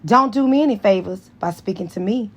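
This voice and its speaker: A woman voicing a sassy, sharp-tongued young female school nurse in an audiobook, animated and slangy. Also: medium pitch, fairly quick, pitched above the performer's own voice, close to an everyday voice. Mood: snappy, scornful. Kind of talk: dramatized audiobook dialogue.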